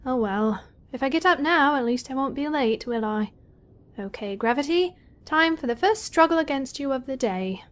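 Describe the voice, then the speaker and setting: A woman's voice: high-pitched, expressive, moderate pace. A woman playing a sardonic, self-critical seventeen-year-old college girl in an audio drama, with a wry, grumbling inner monologue. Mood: groggy, wry.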